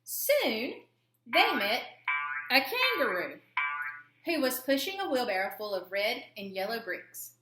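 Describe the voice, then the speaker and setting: A woman narrates a children's storybook with theatrical emphasis. Her voice is bright and very animated, recorded with a roomy echo. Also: a little high, measured pace, soft background music. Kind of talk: expressive read-aloud narration for children.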